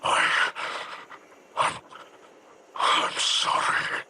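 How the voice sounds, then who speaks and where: An actor playing an ailing, stern and tormented alien father in a video game, gravelly, commanding and theatrical. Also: very slow, pushed and bright, a little hiss or hum, heavily acted. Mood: broken, confused. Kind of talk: dramatic video game acting.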